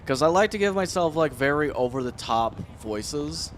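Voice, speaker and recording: A man's voice: a little high, lively intonation, normal speed, a little hiss or hum. A young man streaming casually, cursing and muttering to himself as he works through a task. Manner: profane, muttering to himself while working. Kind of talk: casual live-stream commentary.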